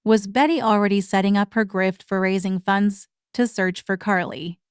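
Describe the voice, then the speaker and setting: A woman's voice: medium pitch, steady tone, normal speed, smooth, no room sound, clean studio sound. Blunt mocking woman commentator. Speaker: commentary channel host. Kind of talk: scripted reaction commentary.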